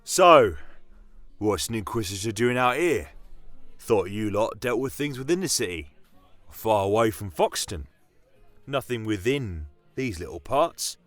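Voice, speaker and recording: A man's voice: fairly low pitch, very animated, a little slow, a bit bright, no room sound, soft background music, voice pushed up. A man voicing a big, frank, gossipy fantasy tavern barkeep who talks in an informal, folksy way. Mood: probing, skeptical.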